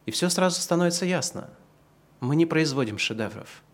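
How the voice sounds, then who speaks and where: A man giving a sermon, who moves between slow, loud, emphatic passages and quieter, conversational asides to the congregation. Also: a little high, expressive. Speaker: pastor.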